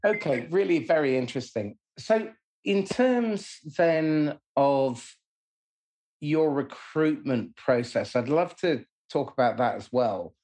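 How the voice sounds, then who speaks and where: A man's voice: fairly high pitch, lively intonation, slow pace, darkish tone. A man hosting a podcast, asking curious questions and laughing easily. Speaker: podcast host. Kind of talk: interview questions.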